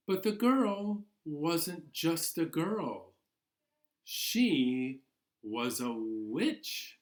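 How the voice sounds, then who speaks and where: Slow-paced man hosting children's storytime. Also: a little high. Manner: animated, expressive, encouraging toward children; slow, deliberate storytelling with dramatic pauses. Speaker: library storytime host. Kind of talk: children's storytime, reading a picture book aloud.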